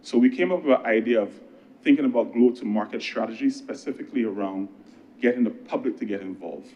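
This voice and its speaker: A man with a Barbadian accent delivering a parliamentary speech as a government minister, conversational and earnest, with emphatic pauses. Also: medium pitch, warm tone, lo-fi sound, a little hiss or hum. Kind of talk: political speech in parliament with anecdotes.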